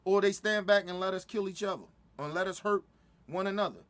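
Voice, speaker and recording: Middle-aged guy ranting, heated, swears a lot, keeps restarting, kinda high voice. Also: a little fast, fairly bright tone. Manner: heated, repetitive, stumbling restarts. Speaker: commentator reacting to a video. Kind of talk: profane casual reaction commentary.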